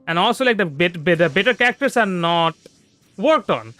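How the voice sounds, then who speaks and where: Male game streamer, very high register, bright tone, loose rambling chat. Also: expressive, normal speed, no room sound. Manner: rambling, repeats and restarts phrases often. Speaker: livestreamer. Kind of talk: casual gameplay livestream commentary. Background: soft background music.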